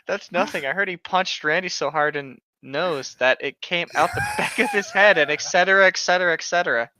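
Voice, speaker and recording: Bright, forceful, sarcastic story reader. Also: mid-range voice, huge pitch swings, brisk, a little hiss or hum. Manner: joking, sarcastic asides. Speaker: co-host of a comedic story reading. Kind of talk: mocking read-aloud with casual banter.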